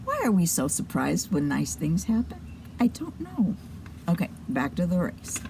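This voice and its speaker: A woman's voice: lowish voice, wildly swooping pitch, normal speed, gravelly, a little mellow, slight background noise. A woman hosting a children's show and telling a story, with chatty asides to her listeners.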